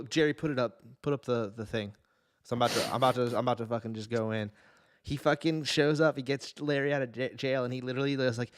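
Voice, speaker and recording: A man's voice: medium pitch, no room sound, studio quality. A man hosting a podcast, chatting casually and informally about film and TV. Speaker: podcast host.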